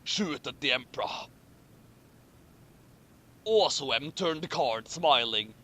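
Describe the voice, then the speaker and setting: A man's voice: medium pitch, animated, takes it slowly, pushed and bright. A man reading a story aloud and commenting on it casually as he goes. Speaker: co-host reading fan fiction aloud with commentary. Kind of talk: amused read-aloud commentary and casual chat.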